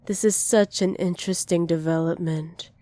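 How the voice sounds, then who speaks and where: A woman playing a dominant, possessive villain who dotes on a captive, softly menacing and teasing in an ASMR roleplay. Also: fairly low pitch, steady tone, normal speed, no room sound, lightly acted. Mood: smug, affectionate. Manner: soft, teasing menace with breathy whispered endearments.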